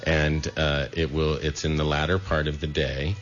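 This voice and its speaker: Very deep-voiced male radio host. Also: little pitch movement, faint music behind. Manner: measured, with filler pauses. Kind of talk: casual radio talk. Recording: dull muffled broadcast with faint noise.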